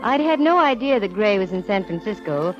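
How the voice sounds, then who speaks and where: A woman's voice: mid-range voice, sing-song, fairly quick, loud music behind the voice, natural voice. An actress playing a wry, self-assured female private eye in a 1940s radio detective drama, with a cool, wisecracking, slangy delivery. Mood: wry narrating. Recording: muffled vintage 1940s radio recording.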